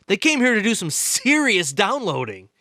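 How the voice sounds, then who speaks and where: A man playing an excited, nerdy investigator in a radio play, explaining theories earnestly with halting stammers. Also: high voice, no echo, clean studio sound, full character voice. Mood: awed, thoughtful.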